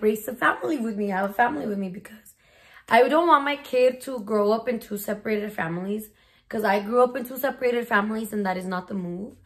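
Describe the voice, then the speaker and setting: A woman of twenty chatting casually in a bedroom Q&A vlog, slangy and mixing in Spanish words, recorded on a phone. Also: on the high side. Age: 20s. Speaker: vlogger. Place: small bedroom. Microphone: phone camera at arm's length.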